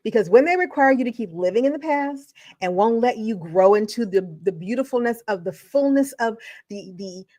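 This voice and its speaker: A woman's voice: on the high side, lively intonation, moderate pace, warm tone, no echo. A woman educator in her forties or fifties giving emphatic, motivational video commentary, repeating phrases for effect. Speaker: educator and channel host.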